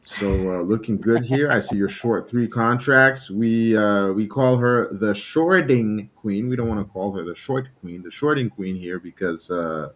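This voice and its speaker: A man whose first language is French, hosting a casual trading livestream, relaxed and laughing often while greeting viewers. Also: lowish voice, a little slow, hardly any pauses. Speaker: livestream host.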